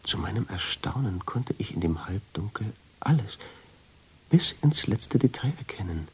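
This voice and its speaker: An actor playing a brooding, lovestruck first-person narrator in a radio drama, with intense, deliberate storytelling and a husky voice. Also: male voice, on the low side, lively intonation, darkish tone, heavily acted. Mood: hushed, tender. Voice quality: husky, gravelly, often sinking into whisper.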